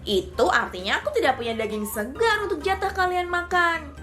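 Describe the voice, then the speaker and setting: A woman's voice: very high-pitched, expressive, moderate pace, pushed and bright, faint music behind, pitched above the performer's own voice, full character voice. A woman voicing a sly, boastful trickster mouse deer in a children's storybook, sweet-talking with feigned innocence. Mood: gloating.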